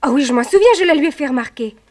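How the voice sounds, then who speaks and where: An actress playing an earnest, emotional young heroine in an old French radio serial. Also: female voice, sits high, expressive, very fast, darkish tone. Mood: troubled, earnest. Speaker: actor.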